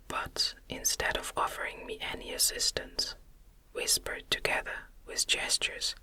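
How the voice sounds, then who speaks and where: A narrator reading a classic novel in a soft, unvoiced ASMR whisper with a soothing cadence.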